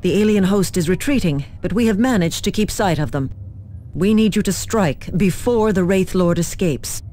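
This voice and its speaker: Actress as a mellow, formal military liaison woman. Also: fairly low pitch, lively intonation, a little hiss or hum, lightly acted. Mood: formal, urgent. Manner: formal, measured, official. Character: formal human military liaison officer woman, coolly official. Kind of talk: scripted game mission briefing.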